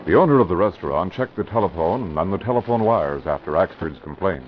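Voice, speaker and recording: Deep-voiced old radio narrator. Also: male voice, expressive, fast talker. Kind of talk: scripted narration. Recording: dull vintage radio broadcast with music.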